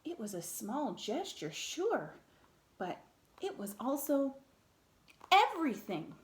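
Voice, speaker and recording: A woman reading a children's picture book aloud in an expressive, theatrical way, with dramatic pauses and emphasis. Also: high-pitched, echoey room.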